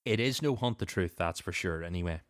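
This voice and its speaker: Deep-voiced man hosting a podcast. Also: expressive, a little fast, no echo, studio quality. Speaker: podcast host. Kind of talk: casual podcast discussion.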